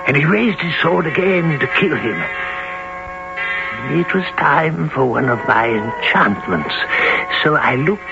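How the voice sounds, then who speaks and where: An actor playing an old wizard who narrates a knightly adventure in a grave, dramatic, drawn-out radio drama style. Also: male voice, fairly high pitch, lively intonation, gravelly. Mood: solemn, urgent. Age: older. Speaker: narrator and character. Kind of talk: theatrical old-time radio drama storytelling. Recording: dull radio broadcast, loud music.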